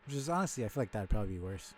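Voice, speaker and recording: A man's voice: on the low side, very animated, rapid-fire, a little hiss or hum. A man streaming a voiced webcomic reading, giving blunt, sweary and opinionated casual commentary.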